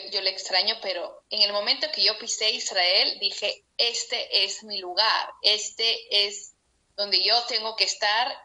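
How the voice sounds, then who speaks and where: A woman's voice: medium pitch, bright, forceful tone, poor audio. A young Peruvian woman telling her story over a video call, heartfelt and laughing now and then. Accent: Peruvian Spanish. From Peru. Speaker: interviewee. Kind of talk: personal account in an interview.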